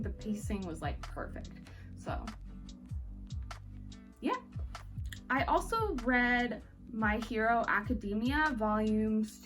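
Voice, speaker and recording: A woman giving a casual, chatty review as a vlog host, speaking informally with "like" sprinkled through her sentences. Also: fairly high pitch. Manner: informal, peppered with "like". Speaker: book reviewer hosting a reading wrap-up vlog. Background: background music.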